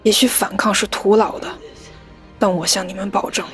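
An actress playing a proud old rebel matriarch giving a solemn, measured speech in a radio drama. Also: female voice, medium pitch, fairly even intonation, faint music behind, lightly acted. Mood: rousing defiance. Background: orchestral score.

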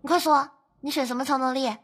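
A woman's voice: high voice, fairly even intonation, quick pace, a bit bright. A woman co-hosting a podcast in playful, casual conversation. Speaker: podcast co-host.

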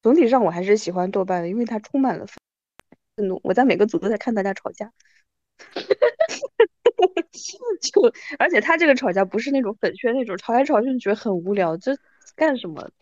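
A woman's voice: a little high, sing-song, fairly quick, pauses often, darkish tone, dry recording, muffled recording. A woman in her thirties hosting a casual chat with an old friend, with a wry, self-mocking manner. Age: 30s. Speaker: podcast host.

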